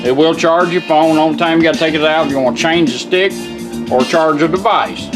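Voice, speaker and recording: Folksy man, loud music behind. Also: fairly high pitch, fairly even intonation, fairly quick. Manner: folksy, plain-spoken. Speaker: product demonstrator, car radio builder. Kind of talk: informal hands-on product demo. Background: car radio playing music.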